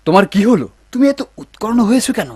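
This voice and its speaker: A man playing an anxious, excitable, inexperienced young son in a cartoon, full of questions. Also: sits high, quick pace, husky, no echo, exaggerated voice. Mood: alarmed. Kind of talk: animated cartoon dub acting.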